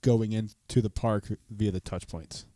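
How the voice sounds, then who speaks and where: A man's voice: on the low side, steady tone, a little slow, mellow, no room sound, studio quality. A man co-hosting a podcast with brash, teasing casual banter and free swearing. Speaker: podcast co-host. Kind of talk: casual banter, profane joking.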